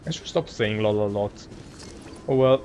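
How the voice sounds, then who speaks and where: A man streaming a video game with casual commentary, muttering to himself in a halting way. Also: lowish voice, darkish tone, lo-fi sound. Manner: muttering, halting, trailing self-talk. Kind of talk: casual live gameplay commentary. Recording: faint noise and clicks.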